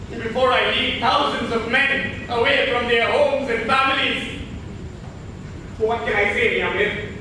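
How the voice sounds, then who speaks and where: A man's voice: very high voice, noisy background. A man playing an earnest, pious, troubled young crown prince in a stage play, speaking in a pleading, theatrical way. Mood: contrite, respectful. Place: indoor theatre stage, distant mic. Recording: echoey theatre stage from far off.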